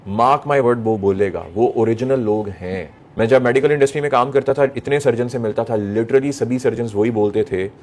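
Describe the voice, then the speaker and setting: A man's voice: medium pitch, normal speed, few pauses, darkish tone. Emphatic Pakistani man giving a motivational lecture. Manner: emphatic, repeats phrases, rhetorical questions; mixes English phrases into speech. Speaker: motivational speaker addressing young people.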